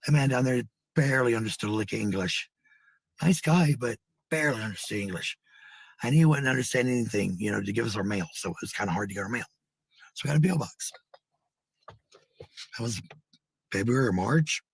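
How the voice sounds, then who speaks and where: An American man with a husky, gravelly voice rambling casually to livestream viewers, blunt, sweary and given to repeating phrases. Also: mid-range voice, lively intonation, brisk, pauses often, no echo, low-quality recording. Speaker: live streamer.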